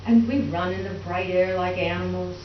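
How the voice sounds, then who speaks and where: A woman's voice: lowish voice, fairly even intonation, normal speed, natural voice. A woman playing a tender, earnest young farm schoolgirl in a lyrical staged reading. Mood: hopeful, defiant. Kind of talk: readers theater dramatic monologue. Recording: echoey room, distant mic, dull, faint noise.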